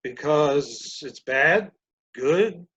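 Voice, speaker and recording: A performer playing a blunt, grumpy naysayer in readers theater, curt and deadpan. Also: medium pitch, drawn-out words. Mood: blunt, matter-of-fact. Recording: dull, lo-fi sound.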